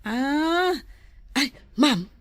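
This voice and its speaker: A high, swooping voice from an actress as an eager village street sweeper, recorded close and dry. She pleads deferentially in an Ilocano accent, in comedy drama style. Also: expressive, extremely slow, dry recording, full character voice. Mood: pleading.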